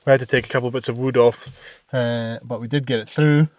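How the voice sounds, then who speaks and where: A man's voice: mid-range voice, steady tone, quick pace, dry recording. Stuffy-nosed young Scottish man. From Scotland. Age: 20s. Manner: candid, chatty, with fillers and "uh". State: congested with a cold. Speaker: vlogger and business owner. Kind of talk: casual vlog narration and chat. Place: outdoors at a job site, snowy street.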